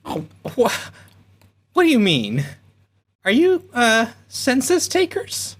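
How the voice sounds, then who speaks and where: A woman's voice: fairly high pitch, wildly swooping pitch, slow, voice pushed up, full character voice. A woman playing a wary, indignant small-town housewife in a comic radio play, puzzled and dismissive. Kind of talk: cold-read comic radio sci-fi play.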